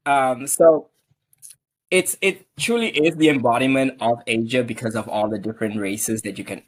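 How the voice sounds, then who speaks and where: A man's voice: medium pitch, a bit bright. Malaysian-born man in his thirties, earnest storyteller. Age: 30s. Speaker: podcast guest, immigration attorney. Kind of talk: conversational interview answers.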